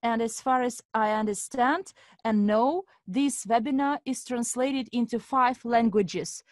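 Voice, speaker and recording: A woman's voice: a little high, measured pace, no room sound, studio quality. Woman hosting a webinar. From Estonia. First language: Russian. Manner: formal, addresses audience as dear friends. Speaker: webinar host. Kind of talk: live online webinar presentation.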